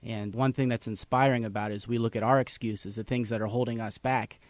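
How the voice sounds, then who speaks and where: A man's voice: medium pitch, steady tone, brisk, no room sound. An American man speaking as an interview guest, earnest and upbeat in a conversational way. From United States, Erie. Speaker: interview guest, English teacher.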